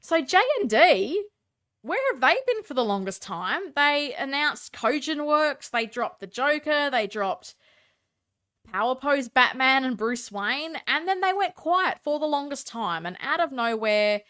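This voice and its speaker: A woman's voice: high-pitched, lively intonation, unhurried, a bit bright. A woman with a stuffed-up, congested voice hosts a casual live stream, chatting opinionatedly and answering viewer comments.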